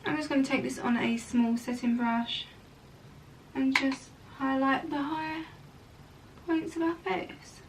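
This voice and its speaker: A young woman chats her way through a makeup tutorial, wandering into casual asides as she works. Her voice sits high and sounds a touch croaky. She is recorded at home from a little way off the mic, with a faint hiss underneath. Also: fairly even intonation, a little slow, far from the mic. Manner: chatty, rambling asides. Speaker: makeup vlogger. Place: room at home.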